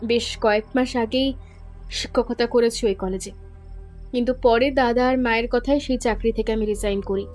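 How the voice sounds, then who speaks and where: A woman's voice: fairly high pitch, steady tone, moderate pace, darkish tone, close to an everyday voice. A woman playing an educated, impatient young woman who answers curtly and defensively. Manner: curt, defensive. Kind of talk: audio drama acting. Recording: faint background music.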